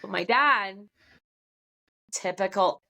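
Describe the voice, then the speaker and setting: A woman's voice: medium pitch, slow, creaky, bright, forceful tone. A middle-aged American woman chatting informally and jokingly as a podcast guest. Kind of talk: casual chat.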